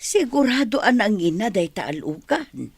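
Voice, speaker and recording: A woman's voice: medium pitch, sing-song, moderate pace, breathy edge, no echo. A woman with an Ilocano accent acting as a thrifty, questioning older village mother in a radio drama. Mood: suspicious.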